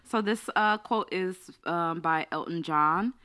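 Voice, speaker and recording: A woman's voice: fairly low pitch, sharp tone, smooth. A young woman co-hosting a podcast, chatting candidly and giggling often. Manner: candid, giggly, drawn-out phrasing. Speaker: podcast co-host. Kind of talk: casual chat.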